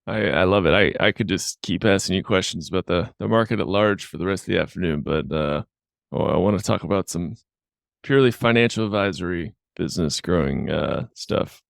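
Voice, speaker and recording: Gravelly low-voiced male podcast host. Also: dry recording, clean studio sound. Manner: enthusiastic, affirming, halting questions. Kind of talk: podcast interview.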